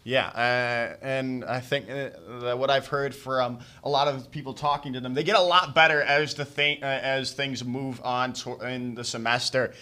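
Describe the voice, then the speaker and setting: A young man hosting a live college esports stream. He thanks the sponsors and introduces the match in a casual, halting way, with lots of fillers. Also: mid-range voice, fairly even intonation, bright, forceful tone, clear, resonant tone. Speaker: esports host.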